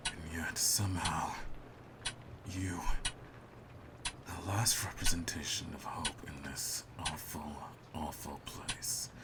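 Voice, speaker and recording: Guy doing a cold smug assassin for asmr roleplay, super low voice, slow n menacing, noisy recording. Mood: hushed disbelief. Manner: theatrical, menacing, deliberate phrasing. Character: cold, smug male hitman holding his target at gunpoint. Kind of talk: scripted ASMR audio roleplay.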